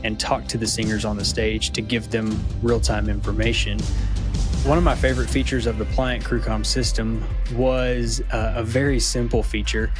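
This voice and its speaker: A man giving a casual testimonial, explaining things in a matter-of-fact way. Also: medium pitch. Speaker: church communications director.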